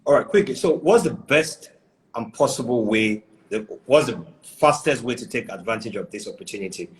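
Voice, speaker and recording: A man's voice: mid-range voice, lively intonation, normal speed, poor audio. Man interviewing, pressing for answers. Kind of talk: interview questions.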